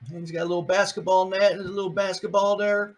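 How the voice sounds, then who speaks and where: A man's voice: high voice, level tone, quick pace. An older man, a grandfather, reading a picture book aloud on video to his grandkids, playful and chatty, asking them questions as he goes.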